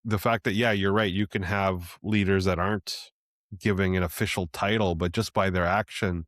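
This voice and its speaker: Deep-voiced easygoing male host. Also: steady tone, normal speed, fairly bright tone, no room sound, clean studio sound. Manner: easygoing, with many fillers and restarts, ending lines with "right?". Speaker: podcast host. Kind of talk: casual interview conversation.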